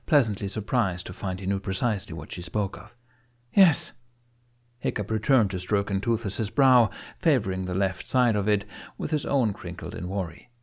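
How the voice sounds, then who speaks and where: A man's voice: lowish voice, darkish tone. A man reading scripted fiction aloud as an audiobook narrator.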